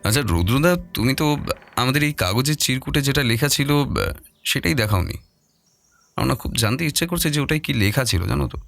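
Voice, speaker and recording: A man's voice: mid-range voice, lively intonation, fairly quick, creaky, fairly bright tone, no echo. A man voicing a curious, eager young sidekick of a detective in an audio story, inquisitive and deferential. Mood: curious, puzzled.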